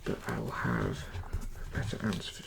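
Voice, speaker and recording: A person whispering slowly and soothingly in a breathy, creaky voice as a dentist instructing a patient in an ASMR roleplay. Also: very deep voice, very animated, heavy background noise. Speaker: ASMR creator playing a dentist. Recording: lo-fi close-up ASMR recording.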